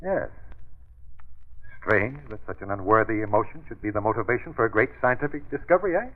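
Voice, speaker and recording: An actor playing a brooding, vengeful scientist in old-time radio drama style, theatrical and deliberate, with sinister politeness. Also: male voice, on the low side, expressive, lightly acted. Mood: bitter, intense. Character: brooding, vengeful male scientist, bitter, coldly menacing jilted rival. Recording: tinny, band-limited vintage radio recording.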